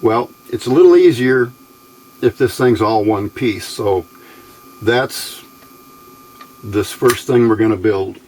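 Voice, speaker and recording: A man's voice: fairly low pitch, slow, pauses often, a little mellow, slight background noise, poor audio. A man giving a casual, unscripted hands-on demonstration, explaining step by step in a plain, folksy way. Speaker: hobbyist presenter demonstrating electronics test equipment.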